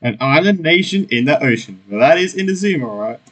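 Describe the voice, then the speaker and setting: A man giving casual, excitable commentary while playing through a video game story quest. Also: a little high, a little fast. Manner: excitable, reacting aloud to the game. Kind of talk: casual let's-play commentary.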